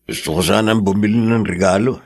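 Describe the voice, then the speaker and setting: A man's voice: on the low side, fairly quick, a little mellow, no room sound, studio quality. An actor playing a middle-aged working-class father in a radio drama, hot-headed yet doting, with a pleading, blustering manner.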